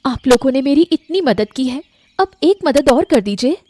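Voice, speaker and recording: A woman's voice: sits high, lively intonation, moderate pace, mellow, no room sound. A woman playing a worried, polite mother stranded and pleading earnestly for help in a storybook drama. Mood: anxious, pleading.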